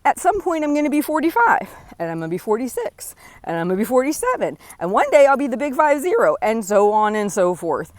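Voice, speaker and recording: A woman in her forties hosting a podcast, talking earnestly and encouragingly straight to the listener, often checking in with a quick "right?". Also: fairly high pitch, big pitch swings, darkish tone, dry recording. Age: 40s. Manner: earnest, encouraging, direct address with frequent "right?". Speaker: podcast host. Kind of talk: motivational solo talk.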